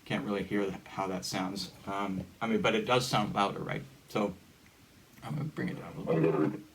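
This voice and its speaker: Guy showing how some gadget hookup works, kinda halting and casual, bit of noise behind him. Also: on the low side, low-quality recording. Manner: halting, informal step-by-step explaining. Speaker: hobbyist demonstrator. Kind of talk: casual hands-on gadget demonstration. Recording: steady background noise.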